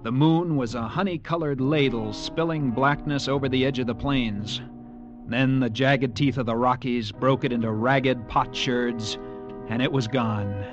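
An actor playing an earnest, uneasy young cavalry lieutenant, telling his story in measured, literary tones for a radio drama. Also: medium pitch, highs cut off, lightly acted. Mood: tense, brooding. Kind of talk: dramatic first-person narration, radio drama acting. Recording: old radio broadcast, music behind.

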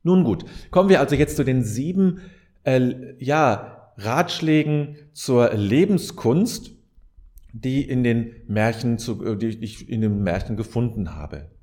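A man with a medium-pitched voice hosts a solo podcast. He explains his ideas thoughtfully and speaks directly to the listener. He often searches for the right phrase and corrects himself along the way. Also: expressive, slow, a little mellow. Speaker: podcast host. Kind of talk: reflective podcast monologue addressed to the listener.

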